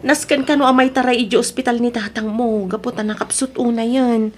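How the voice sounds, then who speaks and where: Supportive wife for radio drama, female actor: slightly high, matter-of-fact, urging, slight noise. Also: fairly even intonation, brisk, darkish tone, natural voice. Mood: concerned. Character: earnest, supportive wife urging her husband. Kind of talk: Ilocano audio drama acting.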